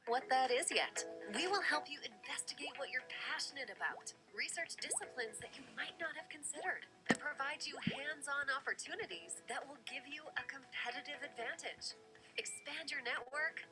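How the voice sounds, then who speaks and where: A woman's voice: sits high, animated, normal speed, poor audio. A woman reading a scripted promotional voice-over as an advertisement announcer. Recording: prominent music behind voice.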